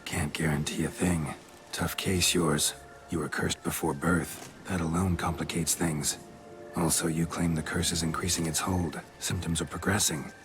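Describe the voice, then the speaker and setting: An actor playing a stoic, gruff monster hunter in a video game, speaking in terse, clipped phrases with a low, gravelly voice. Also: male voice, little pitch movement, normal speed, over music, close to an everyday voice. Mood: grave, matter-of-fact. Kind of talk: video game cutscene acting.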